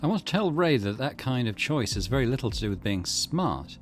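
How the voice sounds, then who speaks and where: A man giving let's play commentary and reading game text aloud, thinking out loud as he goes. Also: mid-range voice, sing-song, fairly quick. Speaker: gaming channel host. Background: game music.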